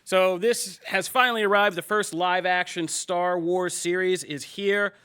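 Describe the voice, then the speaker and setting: Bright, crisp-voiced male podcast host. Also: high voice, a little slow. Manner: energetic, joking, lots of fillers like "like" and "I mean". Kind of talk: casual panel chat.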